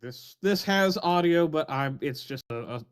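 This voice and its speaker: A man's voice: fairly high pitch, expressive, unhurried, clean tone. Wry, joking man on a podcast. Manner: wry, joking, reads foreign text aloud with flair. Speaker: podcast regular. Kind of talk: casual podcast chat.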